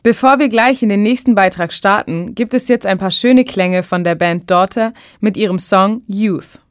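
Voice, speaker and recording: A female radio host presenting with a bright, announcing tone. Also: medium pitch, moderate pace, dry recording.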